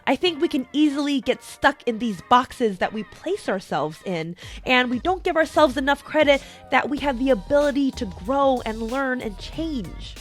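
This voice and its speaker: A young adult American woman presenting a prepared motivational monologue as a host, explaining things and addressing the listener directly. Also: high-pitched. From United States, small town in Colorado. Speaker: podcast host.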